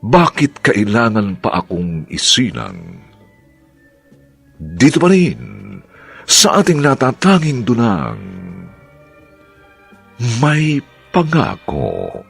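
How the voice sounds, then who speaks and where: A man announcing a radio drama sign-off in a formal, dramatic, measured way. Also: medium pitch, wildly swooping pitch, vocal fry, fairly bright tone, slightly rough, soft background music.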